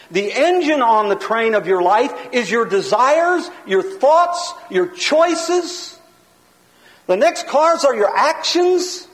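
A man with a high, carrying voice teaches like a preacher, loud and emphatic, hammering points home in rhythm. His words ring out with a long reverb, as if he is in a large hall. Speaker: pastor teaching a seminar. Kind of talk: sermon-style teaching lecture.

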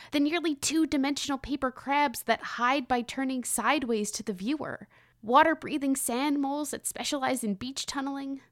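A woman playing an earnest crypto-naturalist researcher in a scripted audio drama, speaking with dramatic pauses and awed hesitations. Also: a little high, no echo, studio quality, natural voice. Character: earnest female crypto-naturalist field researcher reporting strange discoveries.

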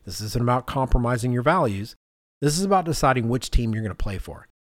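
A man's voice: medium pitch, lively intonation, fast, no room sound. Man chatting casually in a dry studio. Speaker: podcast co-host. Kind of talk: casual conversational storytelling.

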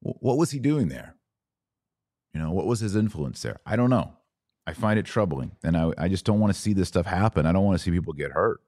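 Male podcast host, very low voice, dry close sound, earnest and conversational, turns indignant. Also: lively intonation, a little fast, darkish tone, no room sound. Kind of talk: livestream podcast reading viewer comments.

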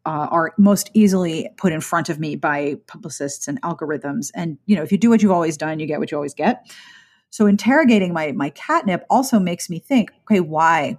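Chatty, lower-voiced woman podcast host. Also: darkish tone. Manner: thoughtful, talkative, frequent restarts. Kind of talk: casual interview chat.

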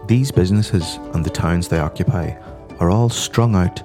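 Low-voiced Irish man narrating over guitar. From Ireland. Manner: measured, informative delivery. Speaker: podcast host. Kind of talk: scripted narration. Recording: music playing behind the voice. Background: guitar music.

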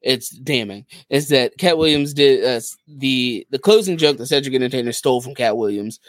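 A man's voice: medium pitch, normal speed, dry recording. A man co-hosting a podcast, casually retelling stories and acting out what people said. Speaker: podcast co-host.

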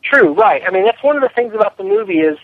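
High-voiced man on a phone line. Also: lively intonation, quick pace, no room sound. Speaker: film director being interviewed. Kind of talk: conversational interview answers. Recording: narrow telephone line.

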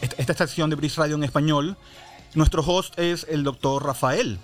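A pastor hosts a church radio interview, chatty and warm, often restarting his sentences. His voice sits a little high for a man. Soft music plays faintly under him. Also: moderate pace.

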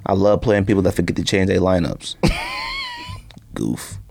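Animated, slangy man hosting a podcast. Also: low-pitched, a little slow, gravelly. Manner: slangy, teasing, exclamatory. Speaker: podcast host. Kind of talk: casual banter about sports and sneakers.

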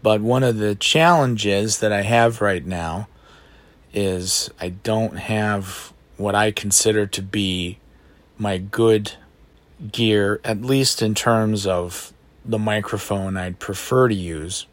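Baritone guy rambling slow and low into his phone, kinda self-deprecating, dry bedroom sound. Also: no echo. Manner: reflective, self-deprecating, unhurried. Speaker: podcast host. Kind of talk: solo podcast monologue. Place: bedroom at home. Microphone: phone microphone.

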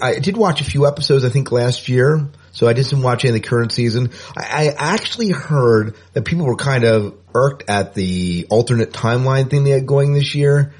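A man's voice: mid-range voice, darkish tone. A man co-hosting a podcast, chatting casually and reading news items in an informal, jokey way. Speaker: podcast co-host.

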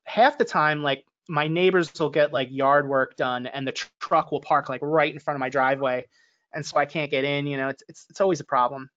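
A man's voice: fairly high pitch, steady tone, a little fast, a bit bright, dull audio. A man with an American accent chatting informally as a podcast panelist, his talk full of casual filler phrases. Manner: informal, peppers talk with "like" and "you know". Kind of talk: casual conversational banter.

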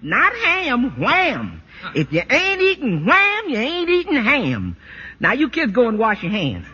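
An actress playing a cheerful, sassy household maid in a 1940s radio drama. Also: female voice, a little high, wildly swooping pitch, a little slow, sharp tone, husky, heavily acted. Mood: perky. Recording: reverberant, dull old radio broadcast.

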